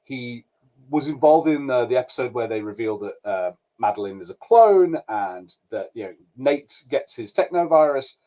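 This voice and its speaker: A man's voice: medium pitch, animated, normal speed, mellow, rough recording. A man talking as a podcast co-host in a casual review chat, hesitant and colloquial as he circles back to correct himself.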